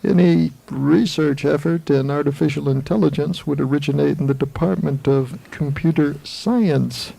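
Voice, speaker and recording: A man playing a condescending physics professor in a 1980s audio drama, pompous, formal and mock-courteous, with a very creaky voice. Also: on the high side, normal speed, hardly any pauses, mellow, low-quality recording. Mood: scornful, amused.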